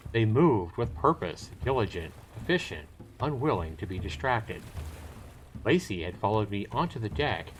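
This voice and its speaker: A man's voice: on the low side, a little hiss or hum. A man streaming a visual novel, reading it aloud with joking, casual asides. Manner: jokey, bantering asides between read passages. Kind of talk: live game read-aloud with casual banter.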